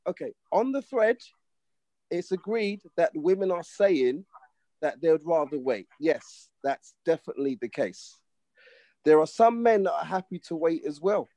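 Man in his forties, high voice, dry sound. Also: expressive, moderate pace, warm tone, no echo. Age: 40s. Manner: casual, pushes back on generalizing. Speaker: panelist. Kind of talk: casual panel chat.

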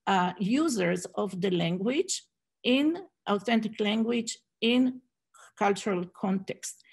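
Middle-aged woman, raspy and a little fried, hesitant conference presenter on a video call. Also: medium pitch. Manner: hesitant, many fillers and restarts. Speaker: Hebrew program director presenting at a conference. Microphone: video call webcam.

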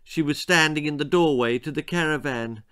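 A British man in his sixties reading a children's story aloud with expressive, theatrical narration. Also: on the high side, normal speed, smooth. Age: 60s. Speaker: author reading own story. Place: small book-lined room indoors.

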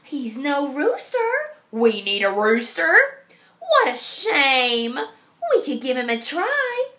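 A woman's voice: high voice, wildly swooping pitch, takes it slowly, sharp tone, echoey room, exaggerated voice. A woman voicing a bossy, fussy storybook hen in an exclaiming manner. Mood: scornful. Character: bossy, fussy female hens in a farmyard.

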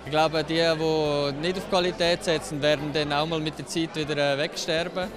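A man's voice: on the high side, level tone, normal speed, crowd noise. A man in his thirties with a Swiss German accent, a restaurant owner speaking confidently into a handheld mic at a busy venue. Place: crowded indoor venue. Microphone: handheld reporter mic.

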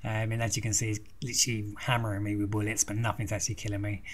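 A young man with a croaky throat giving a rambling, foul-mouthed walkthrough of a war game. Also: on the low side, little pitch movement, racing. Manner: swears constantly, rambling and chatty. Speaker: gaming video host. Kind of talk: casual profane game walkthrough commentary.

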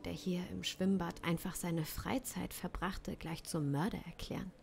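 A woman's voice: fairly low pitch, gravelly, a little mellow, a little hiss or hum. A woman in her thirties giving improvised dramatic narration for an audio play, close on a studio microphone. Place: small indoor studio. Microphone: close studio microphone with pop filter. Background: musical backing.

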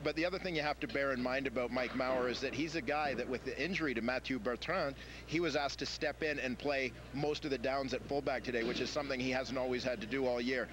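A man explains plays quickly and with care, his voice sitting mid-range. Stadium noise runs underneath. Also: mid-range voice. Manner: measured, explanatory. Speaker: football analyst. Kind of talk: postgame analysis. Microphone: handheld mic. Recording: broadcast audio with background noise.

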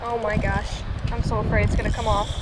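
A woman in her twenties giving excited live commentary while fishing at a creek, gushing with exclamations, recorded on an action camera outdoors. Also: mid-range voice, a little fast. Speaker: fishing vlogger. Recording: outdoor camera mic with water noise. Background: flowing water.

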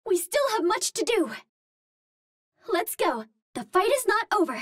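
A woman's voice: very high-pitched, big pitch swings, unhurried, fairly bright tone, no echo, studio quality, exaggerated voice. A woman voicing a cute, earnest young Martian explorer in a video game, with a light girlish voice and polite, precisely worded phrasing. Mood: concerned, determined. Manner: formal, precise wording without contractions, earnest and polite. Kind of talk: video game hero callouts.

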